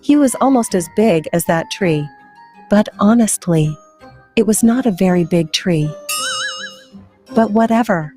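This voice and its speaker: A woman's voice: mid-range voice, animated, measured pace, long pauses, mellow, faint music behind. Playful woman telling children a story. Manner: playful, chatty, asks listeners questions. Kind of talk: children's storytelling, addressing young viewers.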